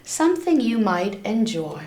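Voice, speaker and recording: A woman's voice: medium pitch, very animated, unhurried, mellow. Woman as kindly encouraging librarian, echoey room. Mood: kindly, inviting. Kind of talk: children's storybook read-aloud.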